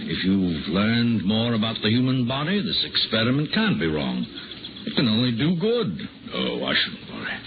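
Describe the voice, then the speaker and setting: Casting: man a grave, hesitant minister and loyal friend, lowish pitch with very mobile intonation, vintage radio-drama style, scratchy broadcast sound. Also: huge pitch swings, moderate pace, a crowd in the background. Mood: reassuring. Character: troubled, devout male minister, loyal friend, morally firm. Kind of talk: 1950s radio drama acting. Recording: scratchy, tinny 1950s radio broadcast, noisy.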